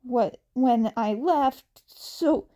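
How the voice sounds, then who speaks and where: A woman voicing a nervous teenage boy vigilante in a story, halting and stammering as he talks. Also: on the high side, warm tone. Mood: flustered, explaining.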